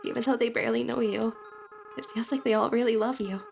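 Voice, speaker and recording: A woman voicing a remorseful young goat monster boy who speaks softly and pleads, close to tears. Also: fairly high pitch, steady tone, quick pace, gravelly. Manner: soft, pleading, near tears. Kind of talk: fan voice-over of a game.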